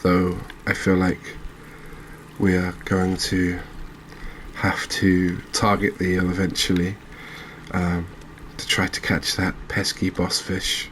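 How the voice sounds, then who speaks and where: A man gives soft-spoken ASMR commentary over a video game. His delivery is slow and gentle, with little rise or fall, and he pauses haltingly as he goes. There is faint noise behind his voice. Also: low-pitched, completely flat delivery, rough recording. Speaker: host of a gaming channel.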